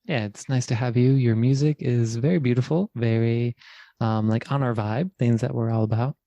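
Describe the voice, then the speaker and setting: A man's voice: medium pitch, fairly even intonation, normal speed, dark tone, dry recording. A man co-hosting a podcast, asking questions in a laid-back way with many fillers. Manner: laid-back, filler-heavy, laughs easily. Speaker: podcast co-host. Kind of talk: casual interview chat.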